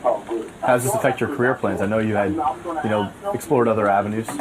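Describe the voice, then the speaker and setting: A man's voice: lowish voice, a little fast. A man interviewing people casually, needling them in a teasing way. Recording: busy noisy room with chatter.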